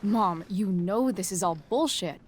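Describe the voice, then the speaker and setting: A rebellious teenage girl voiced by a woman with a medium-pitched voice. She is defiant and blunt, talking in slangy, sarcastic bursts in a naturalistic video game style. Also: measured pace, lightly acted. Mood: defiant, indignant.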